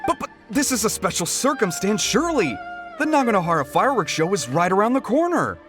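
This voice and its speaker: Game role: flustered junior smith, anxious and eager, very animated intonation. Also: male voice, high voice, fairly quick, faint music behind. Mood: pleading, urgent. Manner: pleading, excitable. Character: flustered, anxious young male apprentice smith. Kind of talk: anime-style video game voice acting.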